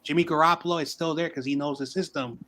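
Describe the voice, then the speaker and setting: A man with a slightly high voice talks football in a casual hangout. He rambles and repeats words as he builds his point, loose and conversational. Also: fairly even intonation, quick pace, sharp tone. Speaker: sports show host. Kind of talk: casual sports debate.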